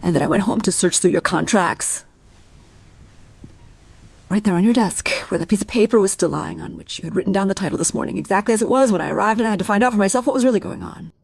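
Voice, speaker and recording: A woman's voice: medium pitch, fast talker, creaky, slightly rough, dry recording. A woman playing an anxious wife full of doubt in a radio drama, speaking in an insistent, questioning way. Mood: troubled, reflective. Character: anxious musician's wife doubting her husband's innocence. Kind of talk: dramatic radio play dialogue and monologue.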